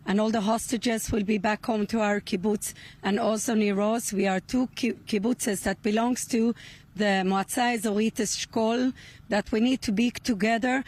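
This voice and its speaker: A middle-aged woman from Sweden, giving earnest interview answers outdoors in simple, deliberate English. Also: medium pitch, a little mellow. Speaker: interviewee, hostage's relative. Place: outdoors near a rural kibbutz.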